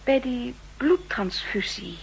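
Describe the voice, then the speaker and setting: An actress playing a soft-hearted, cautious young woman at a spy bureau in a 1960s radio drama. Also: on the high side, animated, slow, gravelly, slight background noise, heavily acted, poor audio. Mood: thoughtful, concerned.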